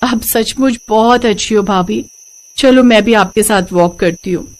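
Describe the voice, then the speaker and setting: Cartoon moral tale: actress as young sister-in-law, high voice, earnest, tender, emotional delivery. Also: female voice, measured pace, lightly acted, poor audio. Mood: concerned. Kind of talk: animated moral story dialogue.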